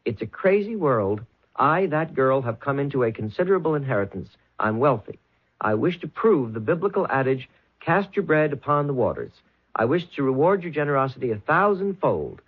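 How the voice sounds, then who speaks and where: Timid eager young male clerk in a radio play, husky, swoopy pitch, muffled old broadcast. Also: big pitch swings, normal speed. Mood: earnest reading. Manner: deferential, hesitant, stammering. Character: meek, devoted young male office clerk. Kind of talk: old-time radio drama acting. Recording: muffled vintage 1970s radio recording.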